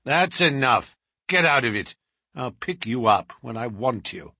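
A man's voice: medium pitch, big pitch swings, measured pace, pushed and bright, husky, no room sound, heavily acted. A man voicing a languid, ironic male detective who answers threats with dry mockery. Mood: cool. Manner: cool, dry, mocking.

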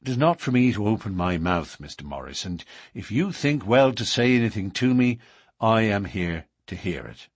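A man's voice: lowish voice, lively intonation, normal speed, husky, no echo, studio quality. A man voicing a stern, bold and wary newcomer in an audiobook, firm and blunt in manner. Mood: frank, protesting. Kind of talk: audiobook character dialogue.

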